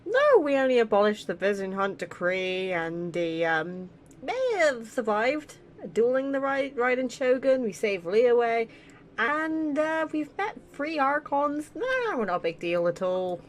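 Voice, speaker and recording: A woman's voice: on the high side, very animated, measured pace, a little hiss or hum. A woman giving casual let's play commentary, sarcastic and blunt, with some swearing. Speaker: gaming channel host.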